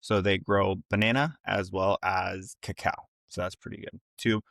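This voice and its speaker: A young man speaks informally to his online audience in a fairly low voice. The sound is dry, with little room around it. He addresses viewers directly and checks in often, like a content creator hosting his own livestream. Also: level tone, normal speed, creaky, a bit bright, dry recording. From Ecuador and North Carolina. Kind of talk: casual livestream monologue to camera.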